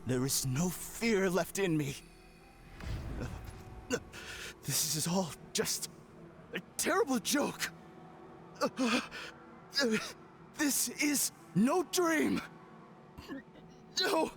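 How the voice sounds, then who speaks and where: A man playing a shaken, bitter figure fighting despair in a video game, halting and brooding. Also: high-pitched, lively intonation, fairly bright tone, soft background music, full character voice. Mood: bitter denial. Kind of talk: scripted video game acting.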